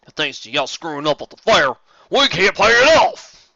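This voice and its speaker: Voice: medium pitch, big pitch swings, moderate pace, vocal fry, no echo, voice pushed up, exaggerated voice. Someone playing a growly southern farm stallion, big and mad, kinda muffled. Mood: angry. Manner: growling, yelling.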